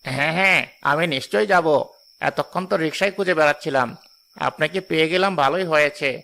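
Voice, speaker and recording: A man's voice: fairly high pitch, quick pace, vocal fry, pushed and bright. A man playing a kindly, generous passenger and family man in a cartoon drama, courteous and earnest.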